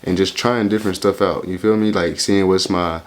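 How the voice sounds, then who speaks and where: Reaction host, man in his twenties, very low voice, loose rambling delivery full of slang. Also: fairly even intonation, moderate pace, slight background noise. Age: 20s. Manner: rambling, slangy, keeps asking "you feel me?". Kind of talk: casual reaction commentary.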